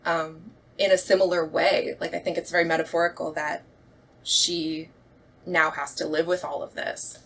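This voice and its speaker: A woman's voice: low voice, flat delivery, normal speed, vocal fry, a bit bright, slightly rough. A woman in a casual chat as a director, explaining her thoughts in a reflective way. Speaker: radio play director and actress.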